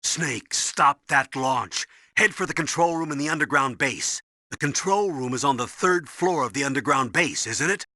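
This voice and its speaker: An actor playing a stern older colonel in a video game, briefing and giving orders with a husky, commanding voice. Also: male voice, fairly high pitch, normal speed, sharp tone, no echo, studio quality. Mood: urgent, commanding. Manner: commanding, urgent, instructive.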